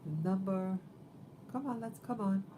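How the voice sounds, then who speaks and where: A woman in her fifties hosting a casual livestream, talking in a rambling, halting way with many fillers. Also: mid-range voice, background noise. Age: 50s. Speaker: livestream host.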